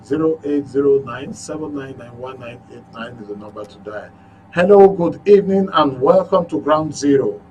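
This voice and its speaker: A man's voice: mid-range voice, normal speed, darkish tone. A man with a Nigerian accent hosting a live radio phone-in show. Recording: noisy studio feed with hum.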